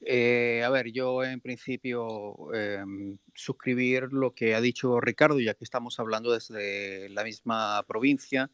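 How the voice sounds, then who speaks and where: A man with a returned emigrant's accent, speaking deliberately and filling his pauses with hesitations. His voice comes across smooth and resonant, with a dry, close sound. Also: medium pitch, steady tone, a bit bright, no echo. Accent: non-Galician, from a returned emigrant. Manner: measured, deliberate, with many filler pauses. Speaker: panelist. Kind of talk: online panel discussion. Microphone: video call.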